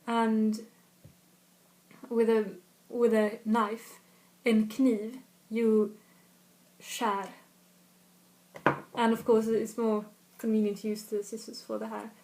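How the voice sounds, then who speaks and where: A woman's voice: a little high, monotone, takes it slowly, pauses often, darkish tone, slight background noise, rough recording. A woman from north of Stockholm with a Swedish accent, chatting casually as a language tutor and repeating example phrases. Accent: Swedish, slightly northern. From Sweden, north of Stockholm. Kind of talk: casual video lesson.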